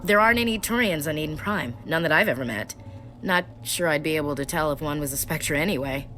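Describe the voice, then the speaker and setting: A lower-voiced, plainspoken soldier. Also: female voice, lively intonation, fast, fairly bright tone, slight background noise. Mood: matter-of-fact. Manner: plainspoken, matter-of-fact. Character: dutiful young female soldier, plainspoken and wary. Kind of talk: video game voice acting.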